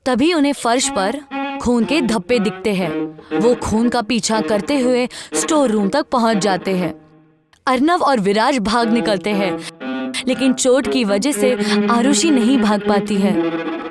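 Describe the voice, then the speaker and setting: A woman reading scripted narration for a horror story as the storyteller. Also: fairly high pitch.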